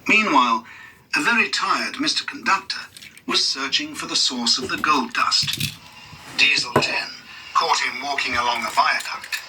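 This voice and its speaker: A man reading a scripted children's story as narrator, in an expressive, dramatic read-along style. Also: medium pitch, far from the mic, background noise.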